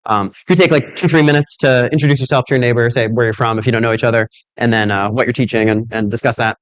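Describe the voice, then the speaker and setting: A man's voice: mid-range voice, fairly even intonation, quick pace, dry recording. A man giving a conversational keynote to a room of teachers, talking casually with fillers. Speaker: keynote speaker. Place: conference room.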